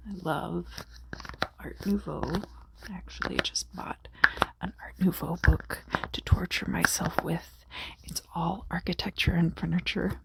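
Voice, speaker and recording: Female ASMR tarot host, low-pitched and slow, whispery delivery, soothing casual chat. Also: fairly even intonation, gravelly, low-quality recording. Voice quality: whispery, soft. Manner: soothing, unhurried.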